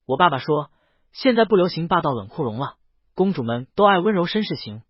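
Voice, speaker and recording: A man voicing a gentle, earnest young male dragon in an audiobook, polite and eager to reassure. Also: on the high side, sing-song, fairly quick, breathy edge, dry recording, muffled recording. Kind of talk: audiobook character dialogue.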